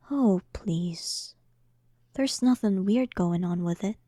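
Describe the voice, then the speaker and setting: Woman acting as arrogant demon queen, sarcastic, condescending, dominant, mellow dark tone, unhurried teasing delivery, whispery dips, roleplay audio drama. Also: mid-range voice, dry recording, clean studio sound. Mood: smug, teasing. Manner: slow, dominant, teasing, condescending, often dropping toward a whisper.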